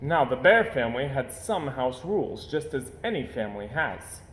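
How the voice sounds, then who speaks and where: A man reading a children's story aloud in an expressive narrating style. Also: mid-range voice, normal speed. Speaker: storybook reader. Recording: roomy echo, faint background noise.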